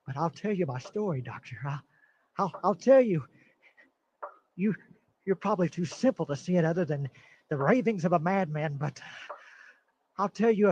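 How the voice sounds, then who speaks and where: An actor playing a nervous, paranoid middle-aged man who stammers and breaks into shrill outbursts, in an old-time radio drama style. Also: a little mellow, a little hiss or hum. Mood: bitter. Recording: dull lo-fi recording.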